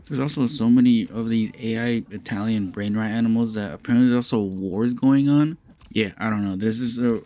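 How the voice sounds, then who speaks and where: A young man with a slightly raspy voice giving casual, rambling commentary, swearing freely and sounding amused. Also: mid-range voice, mellow, no room sound. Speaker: commentary YouTuber.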